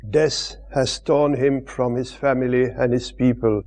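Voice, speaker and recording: A man's voice: mid-range voice, little pitch movement, darkish tone. A man making a formal, solemn scripted announcement. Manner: solemn, slow.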